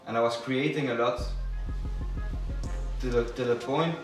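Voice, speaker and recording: A man's voice: medium pitch, steady tone, measured pace. A man answering interview questions in a rambling way, with restarts, about his past as a chef. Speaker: chef turned label member. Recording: echoey room, distant mic, music behind.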